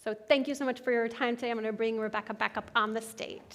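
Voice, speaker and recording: A woman's voice: a little high, fairly even intonation, fast talker, very noisy. A woman on a conference stage giving a confident, promotional product announcement. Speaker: product presenter.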